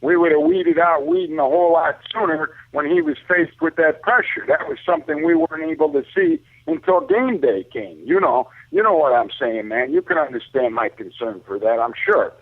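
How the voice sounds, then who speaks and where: A man's voice: on the high side, animated, moderate pace, hardly any pauses, no echo. Male sports radio caller, thin dry telephone sound, mid-range voice, chatty and colloquial. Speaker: call-in guest on sports talk show. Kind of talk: casual sports talk. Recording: narrow, tinny phone line.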